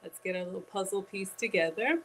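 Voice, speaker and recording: A woman's voice: mid-range voice, fairly quick, fairly bright tone, a little hiss or hum, low-quality recording. Chatty woman hosting a live cooking class. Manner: chatty, talks straight to viewers, drawling asides. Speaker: cooking show host. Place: home kitchen.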